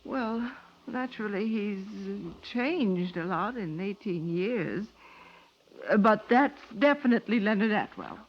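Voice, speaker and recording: Wistful, uneasy middle-aged wife, muffled. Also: female voice, medium pitch, lively intonation, measured pace. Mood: flustered. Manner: hesitant, musing. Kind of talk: 1940s radio crime drama acting. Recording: muffled, noisy old-time radio recording.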